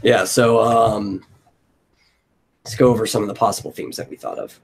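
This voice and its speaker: A man's voice: lowish voice, steady tone, moderate pace, poor audio. A man hosting a casual brainstorming chat, thinking aloud in a loose way. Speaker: host of a brainstorming stream. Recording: noticeable background noise.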